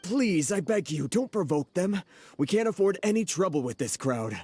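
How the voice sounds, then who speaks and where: A man's voice: high-pitched, sing-song, normal speed, darkish tone, slightly rough, soft background music, exaggerated voice. A man playing a timid, evasive restaurant owner in a video game, hesitant and anxious as he pleads. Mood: anxious, pleading.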